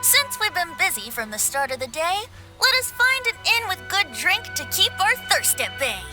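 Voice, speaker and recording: Game bard: youthful boy, high lively voice, playful, self-satisfied, theatrical flourishes, music underneath. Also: moderate pace. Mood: breezy. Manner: lilting, flowery, self-satisfied. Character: boyish young male bard, breezy, playful, theatrical, vain. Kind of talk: video game voice acting. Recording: music behind the voice.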